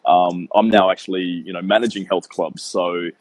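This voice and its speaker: A man's voice: deep voice, fairly even intonation, moderate pace, a little mellow, dry recording, rough recording. A man in his mid-thirties, a guest talking earnestly about his work in a casual interview, with a rambling style full of "um" and "you know". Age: 30s. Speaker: guest, business owner.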